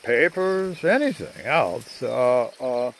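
An older man narrating a rambling video outdoors among trees, talking in a halting way with many fillers, recorded on a handheld phone. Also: mid-range voice, animated, creaky, slight background noise. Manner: slow, halting, full of uh fillers. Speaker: vlogger. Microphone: phone at arm's length.

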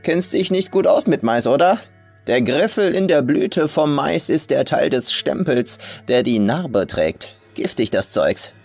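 Man putting on a sinister, folksy old woman, pitched up. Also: expressive, a little slow, gravelly, darkish tone, faint music behind, heavily acted. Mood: sly, needling. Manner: folksy, clipped sentences with dropped pronouns. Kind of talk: audiobook horror story character.

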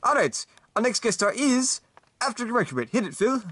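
A man doing a fussy, excitable vampire talk show host. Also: very high voice, brisk, dry recording, pitched above the performer's own voice, heavily acted. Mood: chatty. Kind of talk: comedic stop-motion voice acting.

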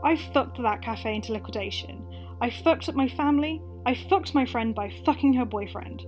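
High-voiced young woman, wry monologue. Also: moderate pace, darkish tone, soft background music, low-quality recording. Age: young adult. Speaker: actress narrating a monologue. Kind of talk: confessional radio play monologue, acted narration.

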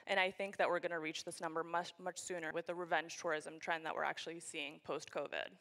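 A woman's voice: low voice, level tone, a little fast, fairly bright tone. A young woman from Canada giving a keynote talk on a conference stage, speaking into a handheld microphone in a large hall.

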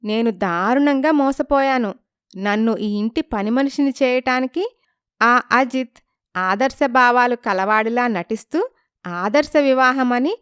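Lady doing a naive village wife for a moral story, high clear voice, hurt and indignant, lots of pleading questions. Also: dry recording, clean studio sound, natural voice. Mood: tearful, betrayed. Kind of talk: dramatized moral story dialogue.

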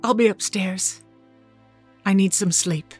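A woman acting a weary, secretive mutant mother in an audio drama, tender, wistful and deliberate. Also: medium pitch, darkish tone, breathy edge, faint music behind.

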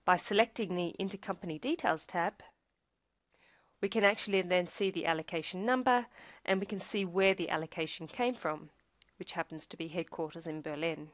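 A woman's voice: lowish voice, moderate pace, dry recording. Woman narrating tutorial, close dry sound. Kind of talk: scripted instructional narration.

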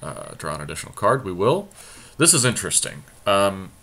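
A man's voice: deep voice, very animated, fairly quick, fairly bright tone, a little hiss or hum. A man giving casual, conversational commentary on a card game he is playing, often self-deprecating. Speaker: podcast host commentating on own card game.

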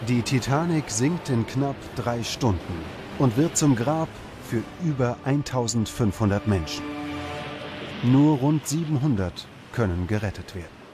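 Guy narrating a documentary, measured n dramatic, mid pitch, music behind him. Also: animated. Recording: music under the voice. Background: background music.

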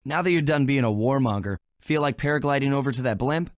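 A mid-pitched male voice for a cartoonish game hero, played by a man. He is a young gentleman thief, cocky and wry, recorded dry and close. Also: fast, dry recording, lightly acted. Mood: breezy, confident. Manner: breezy, wry. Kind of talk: video game voice acting.

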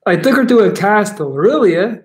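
A man's voice: high-pitched, big pitch swings, fairly quick. Chatty upbeat man on a laptop mic. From Belgium. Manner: upbeat, chatty, reads out viewer comments. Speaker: livestream host, coach. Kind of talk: casual livestream chat with viewers. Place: living room at home, indoors. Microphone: laptop webcam mic.

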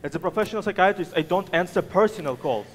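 A woman's voice: on the low side, expressive, fast talker, slight background noise, pitched below the performer's own voice, natural voice. A woman voicing a curt, impatient male psychiatrist taking a personal call at work. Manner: brusque, impatient. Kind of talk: hobby voice drama.

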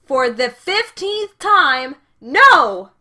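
A woman acts as a short-tempered gang girl in an audio drama. She is piercingly high and forceful, stretching words in exasperation. She shouts and bosses. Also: sing-song, drawn-out words, exaggerated voice. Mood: furious. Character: hot-tempered, bossy, foul-mouthed woman in a gang.

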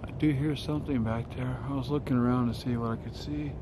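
A man's voice: medium pitch, fairly even intonation, fast talker. Man exploring at night, noisy outdoors. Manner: hushed, curious, talks to viewers. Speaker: urban explorer filming. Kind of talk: casual running commentary. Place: outdoors at night around a closed casino. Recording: outdoor noise behind.

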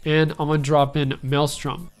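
A young man with a stuffy, congested voice explaining step by step how he builds a synth sound, in a relaxed, rambling way with lots of fillers. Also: on the high side, normal speed, faint music behind. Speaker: music production tutorial host. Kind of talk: casual screen-recorded software tutorial.

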